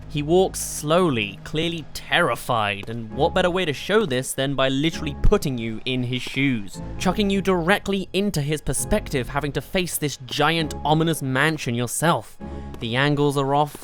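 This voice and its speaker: A narrator presenting a scripted countdown review with emphatic, expressive delivery. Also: medium pitch. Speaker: video game reviewer, host.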